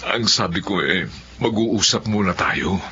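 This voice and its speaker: A man's voice: low voice, unhurried, heavily acted. An actor playing an earnest, trusting middle-aged father of a poor family in a Filipino radio drama, speaking persuasively. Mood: eager, earnest. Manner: animated, persuasive. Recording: dull, noisy radio drama.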